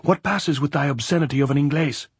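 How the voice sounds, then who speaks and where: A man's voice: fairly low pitch, fairly even intonation, normal speed, slightly rough, dry recording. A man voicing a fierce, blunt middle-aged guerrilla woman who scolds and commands in old-fashioned phrasing in an audiobook. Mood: raging.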